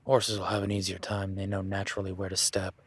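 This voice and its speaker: A man's voice: low voice, completely flat delivery, fast talker, husky. A man voicing an easygoing male cowboy ranch hand in an audio roleplay, talking in a laid-back, folksy way with casual swearing.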